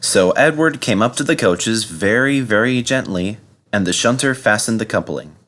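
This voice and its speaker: A man's voice: on the low side, lively intonation, moderate pace, a bit bright. A man narrating in the manner of a children's storybook reader. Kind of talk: storybook narration and spoken video introduction.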